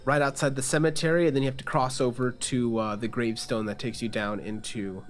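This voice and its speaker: A man's voice: mid-range voice, steady tone, brisk. A man serving as game master, casually improvising narration and rulings for a tabletop game.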